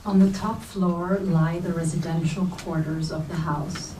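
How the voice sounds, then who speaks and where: A woman's voice: on the low side, steady tone, a little slow, dark tone. A woman reading literary prose aloud at a staged reading. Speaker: reader at a staged book reading. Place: bookstore event space, indoors. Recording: roomy, distant mic, faint noise.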